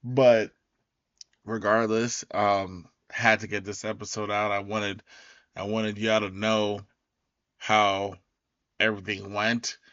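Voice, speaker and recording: A man hosting his own podcast in a loose, rambling way, his voice raspy as it recovers. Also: fairly low pitch, little pitch movement, takes it slowly, pauses often, fairly bright tone, no echo, highs cut off. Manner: loose, rambling, full of fillers and restarts. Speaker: podcast host. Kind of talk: casual solo recap talk.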